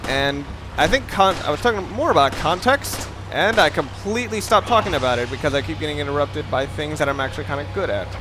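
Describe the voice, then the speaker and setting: A man's voice: fairly high pitch, lively intonation, fast talker, few pauses, noisy background. A young man giving casual, rambling commentary over a video game, often breaking off mid-sentence and swearing now and then. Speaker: let's play commentator.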